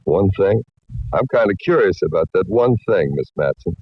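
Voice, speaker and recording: A man's voice: low voice, lively intonation, moderate pace, husky, dry recording. A man playing a laconic, coolly resigned cowboy killer in a 1940s radio drama, folksy and wry. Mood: amused, unruffled. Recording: dull vintage radio recording.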